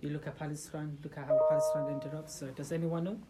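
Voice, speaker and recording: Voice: medium pitch, little pitch movement, fast talker, very noisy. A speaker giving an informal religious talk to a small gathering in a room, asking the listeners rhetorical questions and often restarting sentences. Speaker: religious talk leader for a group.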